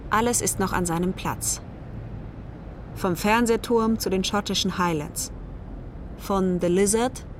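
A woman narrates a radio drama in a medium-pitched voice. The writing is literary and clearly scripted. Noticeable ambient vehicle noise runs behind her.

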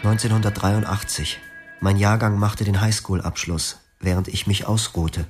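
A young former psychiatric patient who narrates a radio drama in the first person. The voice is low and the tone is quiet and melancholic. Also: male voice, fairly even intonation, normal speed, breathy edge, faint music behind. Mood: reflective. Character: young male patient recalling his clinic past.

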